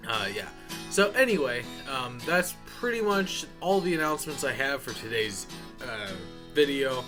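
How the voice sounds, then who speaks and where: A man's voice: mid-range voice, lively intonation, normal speed, over music. A man giving a casual, unscripted update as a channel host, talking informally in a folksy way and addressing viewers as y'all.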